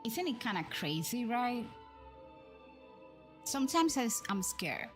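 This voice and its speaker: Higher-voiced woman chatting on a livestream. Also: big pitch swings, unhurried. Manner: conversational, addresses viewers with frequent tag questions. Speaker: live streamer, host. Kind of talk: casual chatty game livestream commentary. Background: background music.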